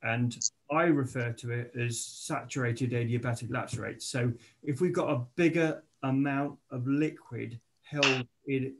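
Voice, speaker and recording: Man, slow speech, gravelly voice, hesitant, faint noise behind. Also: medium pitch, low-quality recording. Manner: hesitant and self-deprecating, repeats words. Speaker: club member asking a question. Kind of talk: casual explanatory chat.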